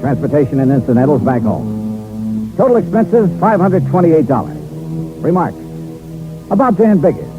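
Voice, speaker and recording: A man's voice: medium pitch, normal speed, pauses often, lightly acted. A man acting as a shrewd, sardonic insurance investigator in a 1950s radio detective drama, with an American accent and clipped, dry delivery. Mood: wry, reflective. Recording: old radio recording, narrow band, music.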